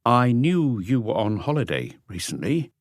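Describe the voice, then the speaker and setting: Man acting middle-aged male inspector, solemn, courteous, precise, raised pitch, audiobook crime story, dry sound. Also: big pitch swings, normal speed, no echo. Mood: solemn, careful.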